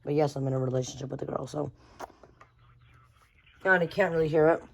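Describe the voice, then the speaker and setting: A woman's voice: low-pitched, a little fast, creaky, highs cut off, a little hiss or hum. A woman giving a casual, unscripted show-and-tell of a collection, rambling and often repeating phrases. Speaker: radio collector showing a collection.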